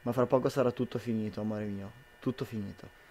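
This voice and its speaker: A man's voice: fairly low pitch, steady tone, brisk, dark tone, slight background noise, lightly acted. A young man playing a devoted, anxious husband in an amateur radio drama, earnest and tender. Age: young adult.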